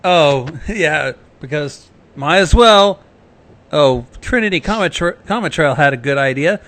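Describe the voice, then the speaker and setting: A man's voice: a little high, animated, measured pace, a bit bright. A man co-hosting a livestream, chatting casually and cutting in with joking remarks. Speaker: livestream co-host. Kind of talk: casual chat, joking interjections.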